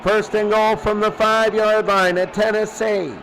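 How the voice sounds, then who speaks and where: A male sports announcer calls football play by play in a high-pitched voice at an unhurried pace. He speaks in short, clipped phrases and repeats them for emphasis. Loud background noise rumbles behind him. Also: level tone, low-quality recording. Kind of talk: American football play-by-play commentary.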